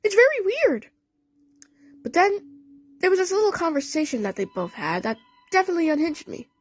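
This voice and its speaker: High-voiced woman telling a dramatic story. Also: big pitch swings, fast, no echo. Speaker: narrator of a fan audio play. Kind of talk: storytelling in character, first person.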